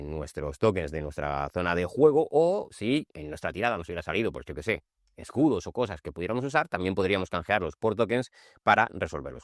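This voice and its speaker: A man's voice: deep voice, a bit bright, dry recording, studio quality. A man with a Spanish accent from Spain gives an informal board game tutorial, conversationally walking through rules and often checking that listeners follow. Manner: conversational, checking understanding with frequent short tags. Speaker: board game channel host.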